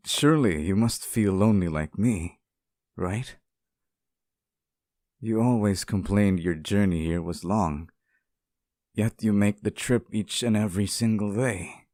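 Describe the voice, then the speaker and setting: A man voicing a lonely, sweet and possessively devoted sealed demon in an intimate ASMR roleplay, speaking softly, with tender, hesitant pleading. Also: low voice, no echo, clean studio sound. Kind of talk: ASMR roleplay, intimate scripted monologue to the listener.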